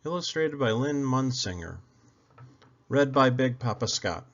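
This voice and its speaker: A man narrating a children's book aloud as an expressive storyteller. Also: mid-range voice, unhurried, muffled recording. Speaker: storyteller, read-aloud host.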